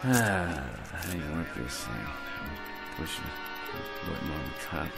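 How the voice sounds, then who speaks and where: Tipsy, rambling middle-aged bigmouth. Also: male voice, extremely low pitch, sing-song, takes it slowly, vocal fry, loud music behind the voice, heavily acted. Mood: chummy. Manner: rambling, stammering, repeats himself, giggly. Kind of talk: radio drama acting.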